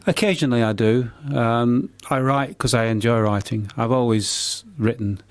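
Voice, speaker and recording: Older british bloke, poet on a radio interview, kinda halting, mid pitched voice. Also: male voice, clean tone. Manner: halting, stammered repeats.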